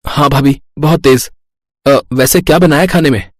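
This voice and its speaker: A man voicing a greedy, spoiled young brother-in-law in an animated story, whiny and wheedling. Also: medium pitch, moderate pace, no room sound, studio quality, voice pushed up, close to an everyday voice. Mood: eager. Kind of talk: animated moral-story cartoon voice acting.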